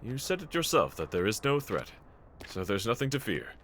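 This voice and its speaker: A man performs a bold anime swordsman who slays demons, earnest and reassuring at heart. His voice is bright and very animated in pitch. He speaks in formal, courteous sentences, as if in an audio roleplay addressed to a trusted companion. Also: normal speed, slight background noise. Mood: gentle, reassuring.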